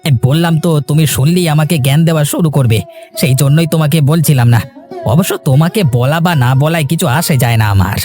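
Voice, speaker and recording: An actor playing a greedy, scheming village husband in a cartoon, gruff and dismissive, with a snapping delivery. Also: male voice, on the high side, fast talker, darkish tone, close to an everyday voice. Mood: defiant, menacing. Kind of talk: dubbed cartoon dialogue. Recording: music under the voice.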